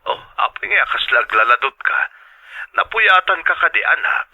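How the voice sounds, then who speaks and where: Phone-filtered Ilocano father, lively pitch. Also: normal speed, dry recording. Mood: affectionate. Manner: gentle, advising. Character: loving, reassuring middle-aged father calling his daughter. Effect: telephone filter. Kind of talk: Filipino radio drama acting. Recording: thin phone-call sound.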